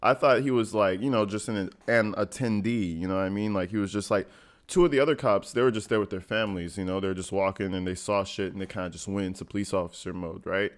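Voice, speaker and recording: A man's voice: lowish voice, fast talker. A young American man giving casual reaction commentary in slangy, profane, conversational speech. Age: young adult. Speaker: reaction video host. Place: small indoor home room, gaming chair at desk.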